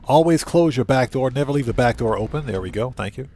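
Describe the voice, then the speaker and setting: A man's voice: mid-range voice, lively intonation, quick pace, darkish tone. A man hosting a livestream, chattily thinking aloud as he gives casual video game commentary and talks to viewers. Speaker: livestream host.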